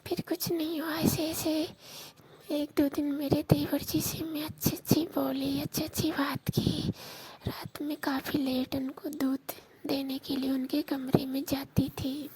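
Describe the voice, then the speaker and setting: Slow, high-pitched, hushed woman storyteller. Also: flat delivery, low-quality recording. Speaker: storyteller in a staged call. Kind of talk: intimate confessional storytelling.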